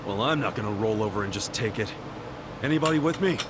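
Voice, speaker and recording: Man acting as lively, hot-blooded earnest brawler. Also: lowish voice, fast talker, background noise, close to an everyday voice. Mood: indignant, rallying. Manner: blunt, impassioned, slangy. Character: hot-blooded earnest man rallying reluctant underworld leaders. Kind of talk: English video game voice acting.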